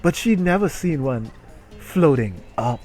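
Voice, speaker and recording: A man's voice: on the high side, animated, unhurried, darkish tone. A man doing a comedic reading aloud, breaking into casual, profane, exasperated asides. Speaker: streamer reading a story aloud while playing a game. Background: music.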